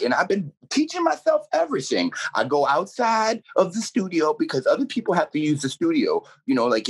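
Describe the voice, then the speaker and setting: A man puts on an eccentric werewolf radio DJ for a comedy interview. The voice is husky and swings wildly up and down. He rambles earnestly and theatrically. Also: fairly high pitch, exaggerated voice. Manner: theatrical, rambling, swooping emphasis.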